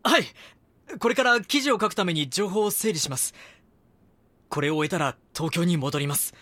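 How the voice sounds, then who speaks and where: A man's voice: high-pitched, expressive, moderate pace, a bit bright, no echo. A man acting an earnest magazine reporter in an audio drama, thinking through a case. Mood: excited, determined. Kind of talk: Japanese audio drama acting, brooding monologue and dialogue.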